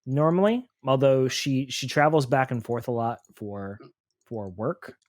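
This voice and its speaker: Halting man in a dry-sounding room. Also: medium pitch, no echo. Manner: halting, repeats words while thinking aloud. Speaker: player in a tabletop role-playing game. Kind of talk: casual in-character improvised chat.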